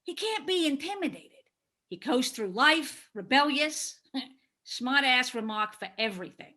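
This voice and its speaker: A woman's voice: sits high, lively intonation, a little slow, sharp tone, exaggerated voice. A woman playing a sly, hungry monster that feeds on fear, conniving and theatrical, in a dramatized play reading. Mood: uneasy, coaxing.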